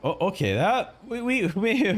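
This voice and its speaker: A man streaming a game, giving casual, rambling live reactions and commentary. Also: sits high.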